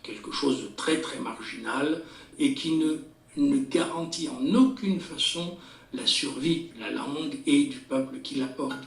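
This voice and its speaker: A man answering a radio interview, explaining at length in a measured way with small hesitations. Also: a little high, gravelly. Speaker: expert interviewee. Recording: roomy echo from a distance, faint music.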